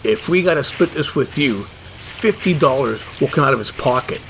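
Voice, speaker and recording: A man's voice: medium pitch, lively intonation, normal speed, noisy background, natural voice. A man playing a fussy, stubborn and rather dim monster hunter in a comedy audio drama. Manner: defensive, obtuse, matter-of-fact.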